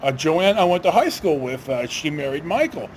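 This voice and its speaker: An older, retired man telling stories casually to camera in a halting, rambling, self-correcting way. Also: on the high side, very animated, a little hiss or hum. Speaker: video storyteller.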